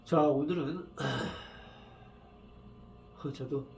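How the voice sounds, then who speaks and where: A man with a cold and a roughened voice, tired from just waking up, talking in a rambling, halting way with many fillers as he gives fortune readings in a casual solo video. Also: mid-range voice, darkish tone, a little hiss or hum. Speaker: saju fortune reader.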